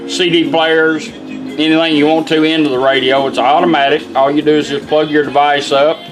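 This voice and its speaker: A middle-aged man with a Southern American accent walks through a product in a casual, folksy style. His voice sits a little high for a man, and music plays behind him. He is plainspoken, like a tradesman showing his work. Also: flat delivery, normal speed, poor audio. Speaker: radio restorer and product demonstrator. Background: music playing.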